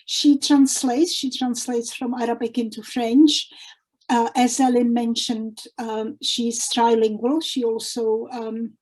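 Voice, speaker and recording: Woman talking slow on a video call, lots of ums, warm mellow tone. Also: a little high, level tone, low-quality recording. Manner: hesitant, with frequent ums and restarts. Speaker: presenter introducing a guest poet. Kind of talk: unscripted introduction at an online literary event.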